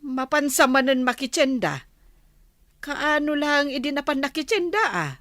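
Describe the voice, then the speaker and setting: A woman's voice: high voice, animated, unhurried, fairly bright tone, dry recording, studio quality. A woman playing a teasing, wheedling village character in a radio drama. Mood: curious.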